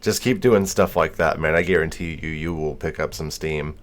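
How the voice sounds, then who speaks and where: A man's voice: very deep voice, normal speed. A man live streaming a game, chatting casually in an encouraging, conversational way. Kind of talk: casual chat.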